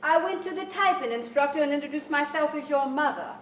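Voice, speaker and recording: A woman's voice: high voice, brisk, roomy sound, recorded from a distance, slight background noise, lightly acted. An actress playing an anxious, overbearing middle-aged mother in a staged drama, theatrical, fretful and emphatic. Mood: wounded, accusing. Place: large theatre stage with audience, indoors.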